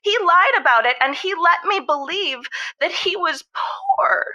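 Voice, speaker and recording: A woman's voice: extremely high pitch, lively intonation, unhurried, sharp tone, muffled recording, full character voice. A woman playing an indignant, idealistic young socialist calling in to a radio show in a comedic audio drama, theatrical and emphatic. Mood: hurt, indignant.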